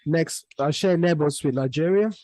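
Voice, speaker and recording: Higher-voiced man, relaxed and rambling. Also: moderate pace, no room sound. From Cameroon. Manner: relaxed, rambling with many restarts and fillers. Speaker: Afrobeats artist, guest. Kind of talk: casual interview answers.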